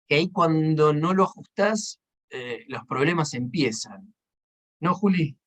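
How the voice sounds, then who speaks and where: Male seminar instructor, Argentine accent, slightly high register, conversational and explanatory delivery. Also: animated, measured pace. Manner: conversational, explanatory, checks in with short tag questions. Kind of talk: informal seminar teaching with examples.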